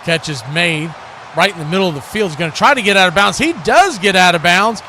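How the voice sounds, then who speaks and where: Higher-voiced man calling football, noisy recording. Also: brisk. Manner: excited on plays, chatty between them. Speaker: play-by-play host of a football watch party. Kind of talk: live play-by-play commentary with casual asides.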